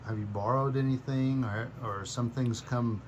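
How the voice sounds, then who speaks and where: A man, asking questions casually as an interviewer in a relaxed conversation. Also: mid-range voice, moderate pace, slight background noise.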